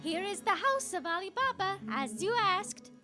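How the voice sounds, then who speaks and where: A woman acts a polite young boy in a radio play. The voice is very high and animated, hopeful, with music clearly playing behind. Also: moderate pace. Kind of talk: radio drama acting.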